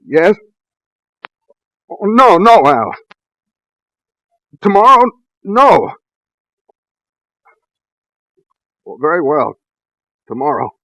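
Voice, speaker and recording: An actor playing a brooding, troubled husband with a grave, halting 1940s radio delivery. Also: male voice, fairly high pitch, sing-song, fairly bright tone, dry recording, heavily acted, rough recording. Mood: startled, uneasy. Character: brooding, troubled husband haunted by his brother. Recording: vintage 1940s radio recording.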